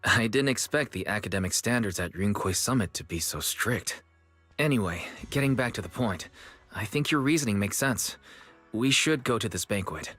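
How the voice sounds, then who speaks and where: An actor playing an earnest, level-headed young disciple in a video game, explaining things in a measured way. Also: male voice, lowish voice, animated, fairly bright tone, soft background music. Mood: thoughtful.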